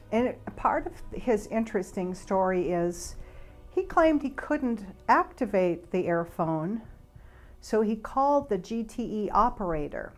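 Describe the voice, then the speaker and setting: A woman giving an informal explanatory monologue in a conversational, speculative way, halting with pauses. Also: mid-range voice. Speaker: speaker, flight attendant and author.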